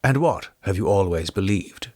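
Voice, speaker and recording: A male reader plays a nervous gentleman in a ghost story who delights in fear. He speaks eagerly and emphatically in a lowish, animated voice. The sound is dry and close. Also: moderate pace, dry recording. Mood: eager relish. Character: nervous gentleman narrator who relishes being frightened.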